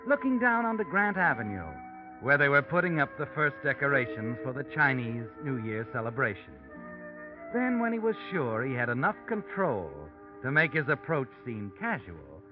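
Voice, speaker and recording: A man's voice: medium pitch, wildly swooping pitch, normal speed. A man playing a sardonic, all-knowing narrator of a 1940s radio mystery, speaking in a taunting, ominous, dramatic way. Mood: suspenseful. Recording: muffled old radio recording, music behind.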